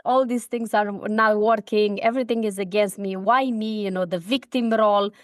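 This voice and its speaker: A middle-aged woman with a Romanian accent speaks in an earnest, heartfelt way. She breaks off and restarts as she talks, and her voice sits at a middle pitch. The recording is dry and close, with no room sound. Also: no room sound. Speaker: guest, speaker and coach. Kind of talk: personal story in a podcast interview.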